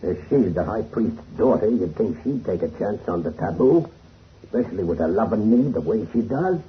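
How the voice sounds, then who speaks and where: Man acting a cocky, animated adventurer, old radio. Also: fairly low pitch, normal speed, natural voice. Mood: cocky, impatient. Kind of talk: 1930s radio drama acting. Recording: muffled, faintly hissy radio recording.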